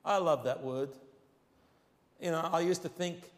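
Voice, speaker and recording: High-voiced Arabic-accented preacher, echoing hall. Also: male voice. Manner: emphatic, slows and rises on key phrases. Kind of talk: sermon. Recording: long hall reverb.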